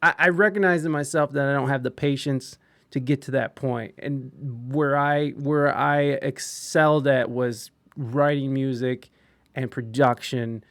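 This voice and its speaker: A man's voice: mid-range voice, steady tone, a little slow, fairly bright tone, no echo. A young man hosting a casual podcast, talking loosely, swearing freely and getting emphatic. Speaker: podcast host.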